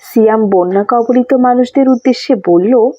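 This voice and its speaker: Woman narrator, soft-toned, fairly high voice, scripted storytelling, dry but slightly dull recording. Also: moderate pace, no echo.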